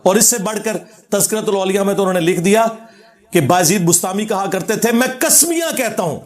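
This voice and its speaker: High-pitched man, sardonic Pakistani Punjabi lecturer. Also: lively intonation, fairly quick. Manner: argumentative, sardonic, quoting scripture. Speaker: religious lecturer. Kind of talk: informal religious rebuttal lecture.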